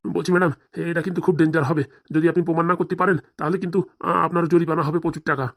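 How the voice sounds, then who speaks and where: A man's voice: fairly high pitch, little pitch movement, fast talker, dry recording, clean studio sound. A man voicing timid, fretful police officers in a comedy cartoon, hesitant, stammering and deferential. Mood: nervous, deferential. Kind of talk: comic cartoon dialogue.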